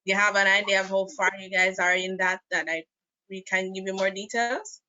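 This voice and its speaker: A woman's voice: medium pitch, fairly even intonation, fairly quick, sharp tone, no room sound. A woman taking part in an informal online meeting, asking a question in tentative, conversational phrasing. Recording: dull, close online-call audio.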